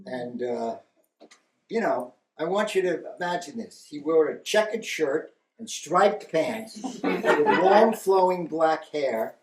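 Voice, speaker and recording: An older man telling stories slowly and wryly at a memorial gathering, pausing to gather his thoughts. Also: on the high side, animated. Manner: wry, halting, stops to gather thoughts. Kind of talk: slow rambling storytelling. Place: meeting room with a live audience. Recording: echoey room from far off.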